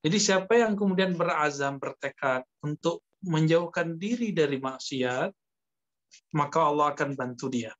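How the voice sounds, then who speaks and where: Higher-voiced male preacher, dull recording. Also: a bit bright. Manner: explanatory, halting, with pauses and Arabic quotations. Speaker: Islamic preacher and teacher. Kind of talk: religious lecture teaching from a book, conversational.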